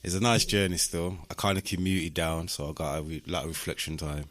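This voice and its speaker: A man's voice: sits low, a little fast. A man from Birmingham with a Birmingham accent, talking casually and reflectively as an interview guest, with slangy phrasing. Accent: Birmingham English. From Birmingham, England. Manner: reflective, philosophical, uses slang and tag questions like "you know what I mean".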